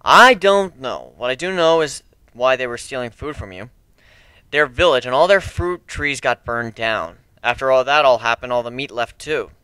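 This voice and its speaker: A man's voice: mid-range voice, moderate pace, pushed and bright, clear, resonant tone, no echo, close to an everyday voice. A man voicing a brash, cocky griffin adventurer with a blunt, sardonic way of talking, in a fantasy story reading. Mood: grim.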